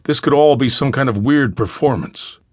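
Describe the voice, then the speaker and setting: Man playing terse, steady tactical team leader. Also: medium pitch, normal speed, no echo, clean studio sound, pitched above the performer's own voice, natural voice. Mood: urgent, commanding. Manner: terse, commanding.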